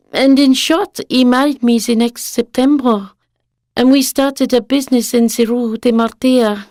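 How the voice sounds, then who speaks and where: High-voiced wistful older wife played by a woman. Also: a little mellow, dry recording, studio quality. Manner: earnest, confessional storytelling. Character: elderly French shopkeeper's wife, romantic, wistful, earnest. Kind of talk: dramatic monologue in radio play.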